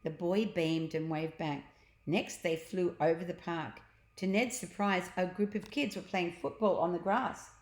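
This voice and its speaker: A woman's voice: lowish voice, measured pace. A woman reading a children's storybook aloud, adding explanatory asides for young listeners. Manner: explains pictures and words to children. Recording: roomy, echoing sound.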